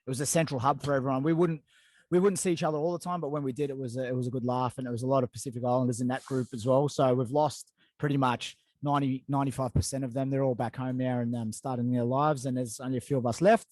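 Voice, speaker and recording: A man's voice: mid-range voice, fast, dry recording. Aussie guy hosting a podcast, chill and rambly, dry sound. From Australia. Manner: relaxed, rambling, lots of fillers. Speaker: podcast host. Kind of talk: casual interview chat. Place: living in Dubai.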